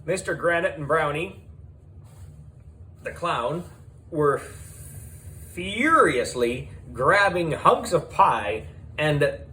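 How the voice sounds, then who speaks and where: A man narrating a children's audiobook in an excitable, playful storytelling manner. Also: a little high, extremely slow, long pauses, a little hiss or hum, low-quality recording.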